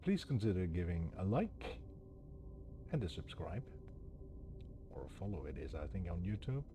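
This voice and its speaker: Low-voiced, expressive male game streamer. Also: moderate pace. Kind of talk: casual game commentary. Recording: clear background noise, ambience. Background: ambient noise.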